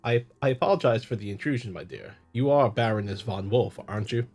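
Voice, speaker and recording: A man's voice: lowish voice, brisk, darkish tone, husky, faint music behind. A man voicing a middle-aged prioress in a visual novel, effusive, pious and politely apologetic. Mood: gushing.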